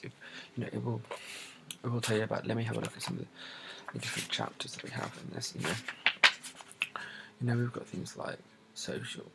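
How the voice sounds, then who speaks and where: A soft-spoken British man in a breathy ASMR bookshop roleplay, describing books in a hesitant, rambling way and repeating his phrases. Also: fairly low pitch, noisy background. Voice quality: breathy, soft, often dropping to a whisper. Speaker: ASMR creator playing a bookshop assistant.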